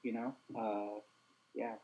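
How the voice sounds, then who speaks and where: Brief: young man, stutters with repeated sounds, earnest, warm mellow tone. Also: fairly low pitch, level tone, slow pace, dull audio. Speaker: host. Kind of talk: casual chat.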